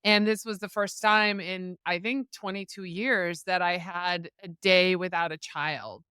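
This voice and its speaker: A woman in her fifties, born in the Netherlands and a native Dutch speaker, tells her story as a podcast guest in a chatty, animated way. Her voice is smooth and clear. It is recorded dry and close. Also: on the low side, a little slow, no room sound. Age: 50s. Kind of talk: casual interview storytelling.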